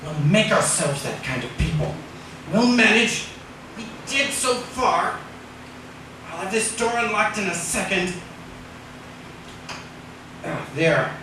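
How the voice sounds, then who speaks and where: A woman's voice: medium pitch, lively intonation. Woman playing a nervous man, echoey noisy recording. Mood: urgent, coaxing. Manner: halting, urgent, repeats words. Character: nervous, determined husband turned amateur housebreaker. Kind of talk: old-time radio drama acting. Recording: very echoey, distant, loud background noise.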